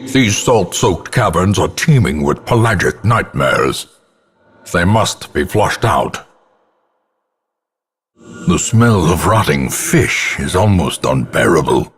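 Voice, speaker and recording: A man playing a grim old ancestor, narrating a gothic game in a grave, theatrical and portentous manner with a husky, gravelly voice. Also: sits low, exaggerated voice. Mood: brooding disgust. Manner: grave, ornate, deliberate, portentous.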